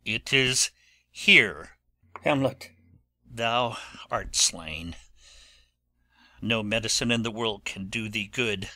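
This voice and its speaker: A man playing a sharp-voiced, intense young nobleman. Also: lowish voice, slow pace, pauses often, dry recording, heavily acted. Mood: dying, remorseful. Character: Laertes, proud vengeful young nobleman. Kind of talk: dramatic Shakespeare audiobook reading.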